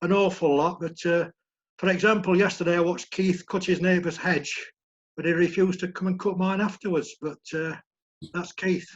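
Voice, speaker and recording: Croaky, husky man, high-pitched, reads sports news with dry jokes over a muffled call. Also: fairly even intonation, normal speed. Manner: jokey, reads news aloud. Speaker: group member reading sports news. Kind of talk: casual chat and reading news items. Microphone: video call. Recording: muffled video call audio.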